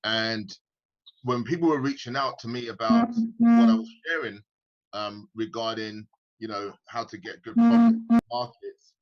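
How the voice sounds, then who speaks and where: A man's voice: mid-range voice, big pitch swings, a little slow, lo-fi sound. A man from the UK with a British accent, chatting as a guest on a video call and rambling with many restarts. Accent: British English. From United Kingdom. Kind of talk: casual video-call chat.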